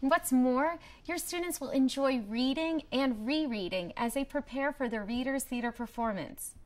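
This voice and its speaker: A woman presenting a promotional training video in a scripted, clearly structured explanatory way. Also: sits high, moderate pace, darkish tone. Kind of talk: scripted instructional narration.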